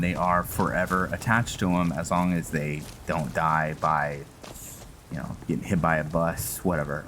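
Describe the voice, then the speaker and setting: A man hosting a roleplay game series with casual, halting commentary as he explains lore. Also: low voice, fairly bright tone, a little hiss or hum. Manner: halting, thinking aloud.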